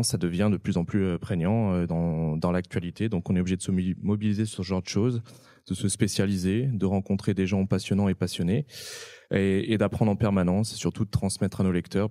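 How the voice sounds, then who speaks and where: A man's voice: low-pitched, warm tone, no echo, studio quality. A man, a tech journalist on a talk show panel, explaining fluently and steadily.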